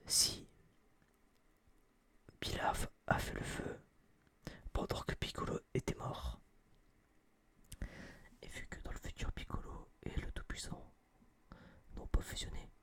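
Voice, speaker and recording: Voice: takes it slowly, pauses often, a bit bright. A person whispering a casual, rambling ASMR film review in slangy, hesitant phrases, often repeating words, close to a binaural microphone. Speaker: ASMR video creator.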